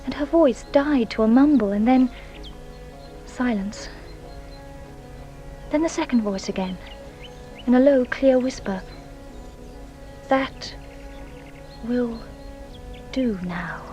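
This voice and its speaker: A genteel young woman confessing as a witness in a radio drama. Her voice is on the high side, anxious and hesitant, with a dramatic edge. Light music runs underneath. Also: slow pace, pauses often, mellow, dull audio. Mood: mounting dread. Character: anxious, guilt-ridden genteel young Englishwoman giving testimony. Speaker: actress playing a witness.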